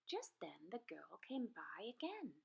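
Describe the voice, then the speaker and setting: Slow, animated woman reading a storybook. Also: mid-range voice, vocal fry, husky, muffled recording. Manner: expressive, rhythmic delivery. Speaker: preschool story reader. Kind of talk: children's storybook narration.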